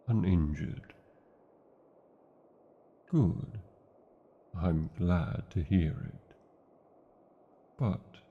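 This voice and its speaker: A man's voice: low-pitched, gravelly, breathy edge, lo-fi sound, full character voice. A man with a British accent voicing a large, devoted, proud male dragon in a fantasy ASMR romance, speaking tenderly with theatrical, formal wording. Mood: relieved, affectionate.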